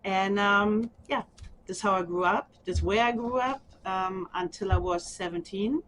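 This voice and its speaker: A middle-aged woman from Germany with a German accent, speaking softly and haltingly with small laughs in an oral history interview. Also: mid-range voice, a little hiss or hum. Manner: soft, halting, with frequent ums and small laughs.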